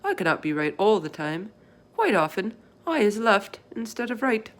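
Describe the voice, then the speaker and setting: Storybook friendly giant, played by a woman: earnest, excitable, emphatic, tumbling through garbled folksy speech. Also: medium pitch, moderate pace, voice pushed up, lightly acted. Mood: earnest, muddled. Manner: emphatic, garbled folksy grammar with made-up words.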